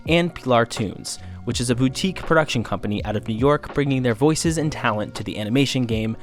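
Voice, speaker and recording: A man reading scripted documentary narration in a measured, formal, informative manner. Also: mid-range voice. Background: faint music.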